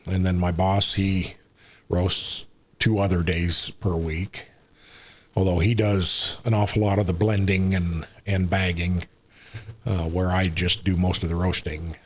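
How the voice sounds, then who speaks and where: A man in his sixties with an American accent, chatting casually as a podcast guest in a rambling, unhurried way. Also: low voice, flat delivery. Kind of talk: casual conversational interview.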